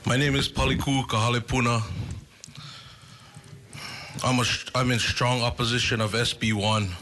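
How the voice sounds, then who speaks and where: A man from Hawaii, giving forceful, emphatic public testimony at a hearing. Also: mid-range voice, flat delivery, moderate pace, a little hiss or hum.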